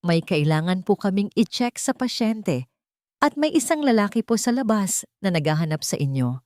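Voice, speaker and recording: A woman's voice: mid-range voice, sing-song, normal speed, darkish tone, dry recording, clean studio sound, natural voice. A woman narrator voicing a polite, urgent hospital nurse in a story. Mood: polite urgency. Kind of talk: storybook dialogue within narration.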